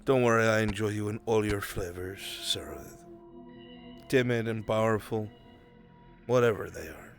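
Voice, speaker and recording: A man playing a worldly, sly fantasy adventurer in live roleplay, with deliberate, coarse-mouthed delivery. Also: lowish voice, close to an everyday voice. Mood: teasing. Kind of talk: live improvised fantasy roleplay in virtual reality. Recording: background music behind the voice.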